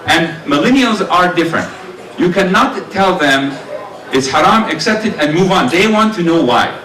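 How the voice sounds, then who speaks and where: A middle-aged man with a booming voice giving an earnest speech at a community dinner, telling stories with many fillers. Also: lively intonation, normal speed, pushed and bright. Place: large banquet hall. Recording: roomy hall sound, distant mic.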